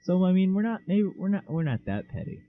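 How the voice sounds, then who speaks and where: A man's voice: high-pitched, very animated, quick pace. A young man co-hosting a podcast, chatting casually with an offhand, joking manner and hesitant restarts. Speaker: podcast co-host. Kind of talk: casual chat. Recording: tinny phone-like lo-fi mic.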